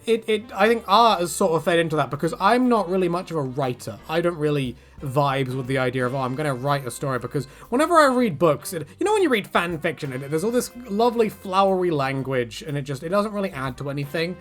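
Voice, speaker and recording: Man, slightly high voice, rambling casual Q&A, animated asides, faint background music. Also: fast talker, few pauses. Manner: rambling and animated, jumping pitch for quoted asides. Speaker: YouTube creator answering fan questions. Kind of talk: casual Q&A monologue.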